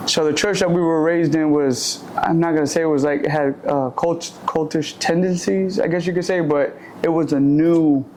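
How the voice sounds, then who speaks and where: A young man, raised in Puerto Rico, talks through his life story in a loose, candid way, often stopping to correct himself. His voice sits a little high for a man. A faint hum of room ambience stays under him. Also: fairly even intonation, moderate pace, hardly any pauses, darkish tone, low-quality recording. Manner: candid, rambling, self-correcting. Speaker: guest, barber and shop owner. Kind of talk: casual interview storytelling. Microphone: handheld or shared mic.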